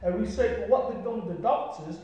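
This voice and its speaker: A man's voice: high voice, brisk, mellow. A middle-aged man, a martial artist giving an interview. He talks earnestly and circles back to his points, often checking in with "right?" and "you understand me?". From Britain. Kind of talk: reflective conversational storytelling. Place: small room, sitting on a sofa. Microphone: distant camera mic. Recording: very echoey room, mic far off.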